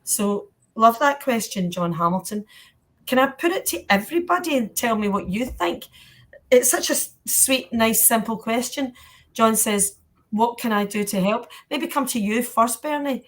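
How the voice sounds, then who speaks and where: A woman's voice: fairly high pitch, animated, moderate pace. A Scottish woman hosting a panel Q&A in a chatty, colloquial, encouraging way. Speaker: panel host.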